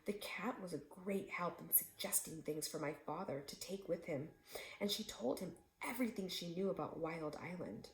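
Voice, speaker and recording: A woman reading a children's book aloud expressively, pausing now and then to speak to her listeners. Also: fairly low pitch, animated, reverberant, rough recording. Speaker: read-aloud storyteller for children.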